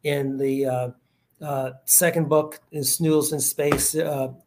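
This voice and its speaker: A man's voice: fairly high pitch, flat delivery, takes it slowly, background noise. Man author rambling about his books. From United States. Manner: rambling, many fillers and restarts. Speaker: author guest. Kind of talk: casual interview chat.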